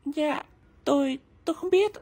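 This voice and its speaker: A man voicing a frightened captured enemy spy in an animated historical film, submissive and pleading, speaking. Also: very high-pitched, a little slow, background noise. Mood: terrified.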